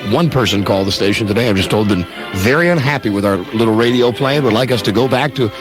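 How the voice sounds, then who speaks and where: A man hosting a radio show with casual, bossy, mock-gruff comic banter. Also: medium pitch, fast talker. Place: radio studio. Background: music.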